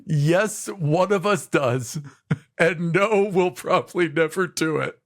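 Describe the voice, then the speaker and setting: A man co-hosting a podcast in casual chat, playful, quick to laugh and defend his choices. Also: fairly high pitch. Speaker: podcast co-host.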